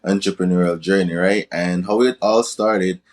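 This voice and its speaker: Guy on a podcast, lowish voice, says right? all the time. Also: steady tone, normal speed. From Jamaica. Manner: tags many sentences with "right?". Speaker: guest, crypto and business coach. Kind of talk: casual interview talk.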